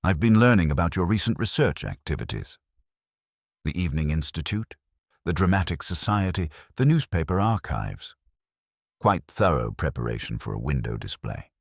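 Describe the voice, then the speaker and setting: A man voicing a calm police inspector in a detective story, probing with gentle, dry authority. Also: a bass voice, moderate pace, gravelly, no echo, full character voice.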